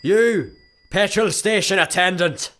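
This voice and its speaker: An actor playing a blustering, menacing space pirate in a comedy radio play, pompous and threatening. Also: male voice, sits high, slow, fairly bright tone. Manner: threatening, pompous, garbles words. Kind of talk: sci-fi comedy radio acting.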